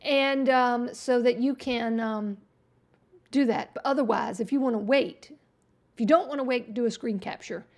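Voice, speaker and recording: Lady hosting a web design stream, kinda high voice, chatty and folksy, voice going hoarse. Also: moderate pace, darkish tone. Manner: chatty, folksy, talks through each click. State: losing her voice. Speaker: web design livestream host. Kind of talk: casual screen-share tutorial chat.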